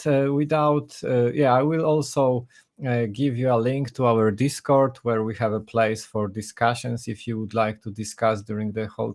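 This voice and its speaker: Low-voiced man hosting a stream. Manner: halting, with many restarts. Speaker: conference host. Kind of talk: live stream introduction.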